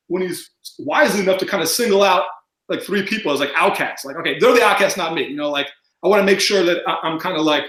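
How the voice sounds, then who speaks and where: A man chatting as a podcast guest, mumbling and talking fast with lots of fillers. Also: sits high, a bit bright, echoey room, poor audio. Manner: rambling with many fillers. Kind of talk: casual podcast chat.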